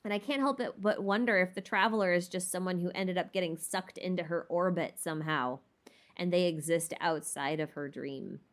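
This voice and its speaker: A woman with a slightly low-pitched voice talks as a livestreamer in a loose, casual style. She thinks out loud, rambling and tentative, as if working out her ideas while she speaks. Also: normal speed. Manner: thinking aloud, tentative and musing. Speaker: streamer hosting a lore Q&A. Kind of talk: casual rambling livestream chat about game lore.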